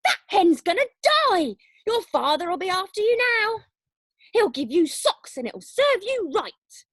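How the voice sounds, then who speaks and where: Lady doing a screechy old grandma, bossy, loud, high voice leaping all over, storybook reading. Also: very animated, measured pace, no echo, heavily acted. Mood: scolding. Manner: shrill bossy shouting. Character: cranky old grandmother shouting from a rooftop.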